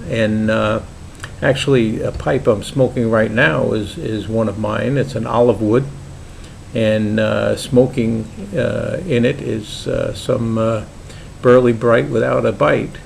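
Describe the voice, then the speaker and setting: An older man with a Brooklyn accent, talking casually and haltingly about his hobby in a home vlog, with many pauses and restarts. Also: medium pitch, fairly even intonation, vocal fry, a little mellow, slight background noise. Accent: New York (Brooklyn). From Brooklyn, New York. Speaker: pipe maker vlogger.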